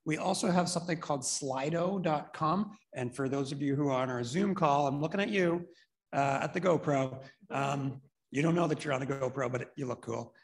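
A man's voice: a little high, normal speed. Casual man moderating a conference panel. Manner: casual and joking. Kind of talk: event instructions. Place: conference room with large window. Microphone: handheld mic.

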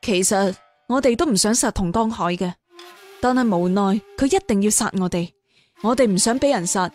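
Man putting on a high-voiced young gentleman. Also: moderate pace, soft background music, close to an everyday voice. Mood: calm, regretful. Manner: light, composed, reasoning. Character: calm, smiling young nobleman martial-arts hero.

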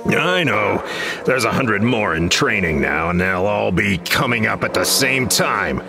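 A man's voice: fairly low pitch, animated, unhurried, gravelly, over music. An actor playing a chubby, eager man from within the earth disguised as a human, marveling dramatically in a retro sci-fi radio play. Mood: proud.

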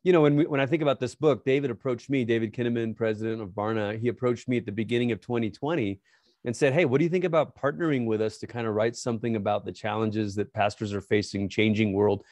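A pastor in his forties from Malaysia explains his work in an earnest, animated way. He speaks into a studio microphone in a home study. The sound is close and dry. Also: medium pitch, fast talker, dry recording. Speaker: pastor and author guest. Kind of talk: interview answers. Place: home study with bookshelves, remote. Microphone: boom-arm studio mic.

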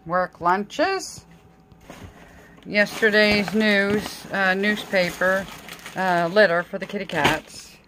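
Slow-talking middle-aged woman, noisy home recording. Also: medium pitch. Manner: unhurried item-by-item listing with short phrases. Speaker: home vlogger. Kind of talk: casual grocery haul vlog. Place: indoors at home, at a table. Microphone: handheld phone.

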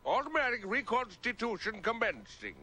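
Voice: mid-range voice, huge pitch swings, normal speed, bright, forceful tone, husky, exaggerated voice. An actor voicing a fretful, fussy little alien servant in a cartoon, speaking in an anxious, precise way. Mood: officious. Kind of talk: cartoon voice acting.

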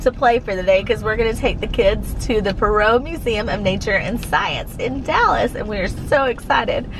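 A woman in her thirties with a hoarse, half-lost voice, chatting upbeat on a family vlog and coaxing her kids along. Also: medium pitch, normal speed, noisy background. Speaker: family vlogger. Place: car, then indoor museum. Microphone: handheld camera. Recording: loud music and crowd behind.

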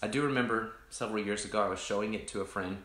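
A man, a pastor casually chatting with online viewers in a rambling, reflective way, his voice deepened by allergies. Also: rapid-fire, fairly bright tone, echoey room. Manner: rambling, many restarts and fillers, greets viewers by name. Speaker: pastor hosting an online coffee chat.

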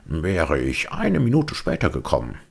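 A man's voice: extremely low pitch, wildly swooping pitch, unhurried, vocal fry, slightly rough, full character voice. A man voicing a loyal, modest dog, a kindly friend in a children's storybook reading. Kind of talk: children's audiobook character voice.

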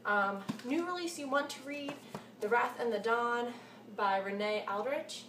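Echoey, higher-voiced young woman chatting. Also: a little slow. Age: 20s. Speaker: book reviewer vlogger. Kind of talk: casual chat to camera about books. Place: small cluttered room at home, indoors. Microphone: camera mic a short distance away. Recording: echoey room, distant mic, faint hiss.

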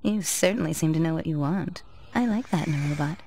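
A woman's voice: deep voice, a little fast, creaky, dark tone, slight background noise. A woman playing a sly, flirtatious card player in a video game, teasing and poised. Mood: flirty, sly. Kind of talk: western-flavored video game cutscene acting.

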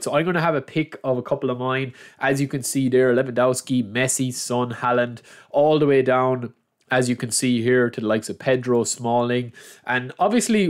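A young man with a head cold that makes him a bit nasal, chatting through a screen-recorded football game guide and calling his viewers lads. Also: mid-range voice. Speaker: gaming channel host. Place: at a computer, voice-over of screen recording.

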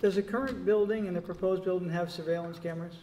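A man on a town board talks casually in a fairly high voice, asking questions and thinking aloud. The meeting room sounds echoey, with a faint hum underneath. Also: steady tone, fast, warm tone. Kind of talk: public meeting discussion.

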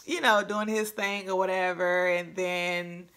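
A woman's voice: lowish voice, level tone, unhurried, fairly bright tone. A woman in her thirties chatting casually to camera as a vlogger, recorded indoors in a room at home. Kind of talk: casual chat to camera recounting an experience.

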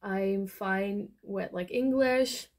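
A woman's voice: medium pitch, drawn-out words. A young woman chatting casually through a makeup tutorial, rambling with many fillers. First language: Dutch. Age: young adult. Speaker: beauty vlogger. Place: small bedroom, indoors.

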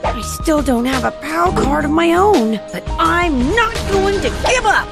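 Cartoon kid hero: little boy, high and very animated, earnest, plucky, eager. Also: measured pace. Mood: determined. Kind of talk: cartoon voice acting. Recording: music under the voice.

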